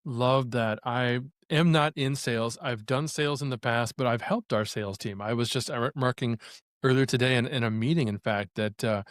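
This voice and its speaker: A male podcast host talks in a friendly, measured way as he introduces a guest and poses questions. His pitch is middling and the recording is dry, with no room sound. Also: no room sound. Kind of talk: podcast interview.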